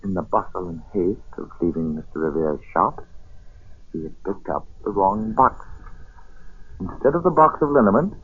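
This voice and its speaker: A man narrating a colonial tale in a 1940s radio drama as a folksy, deliberate and suspenseful storyteller. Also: low voice, animated, slightly rough, full character voice. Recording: muffled, hissy 1940s radio recording.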